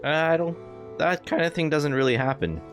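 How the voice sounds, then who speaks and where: A woman's voice: sits low, moderate pace, over music. A woman game streamer casually reacting and commenting while she plays.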